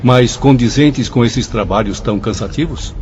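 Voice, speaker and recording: A proud, curious professor spirit, played by a man, asks his hosts pointed questions in a radio drama. His voice sits in a middle pitch and sounds slightly dull, like an older broadcast recording. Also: moderate pace, a little mellow, slight background noise, natural voice. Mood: questioning.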